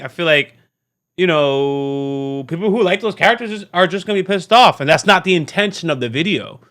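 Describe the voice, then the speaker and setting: A man hosting a casual, unscripted reaction video, talking in an animated, slangy way and often repeating himself. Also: a little high. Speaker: YouTube reaction host.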